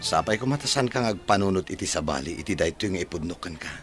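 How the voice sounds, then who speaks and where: A man voicing a calm, decisive male farm owner with an Ilocano accent, formal in manner. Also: low-pitched, fast talker, over music. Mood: measured.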